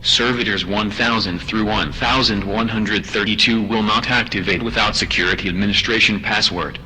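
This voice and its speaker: A man's voice: on the low side, fairly even intonation, moderate pace, bright, forceful tone, long reverb tail, slight background noise, poor audio. A man voicing a stiff, formal automated security computer in an audio drama. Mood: impersonal, formal. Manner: formal, impersonal, scripted.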